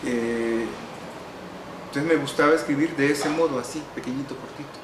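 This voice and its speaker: Reflective Salvadoran man, echoey room. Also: medium pitch. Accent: Salvadoran Spanish. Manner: unhurried, reflective, with frequent "eh" pauses and wry humor. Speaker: interviewee, novelist and musician. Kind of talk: relaxed recorded interview. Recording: echoey room with air-conditioning hum.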